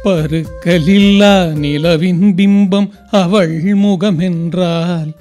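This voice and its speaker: A man acting as a lovestruck young man, dreamily reciting love poetry in a radio drama with drawn-out phrases. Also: high voice, fairly even intonation, mellow, faint music behind. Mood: dreamy, adoring.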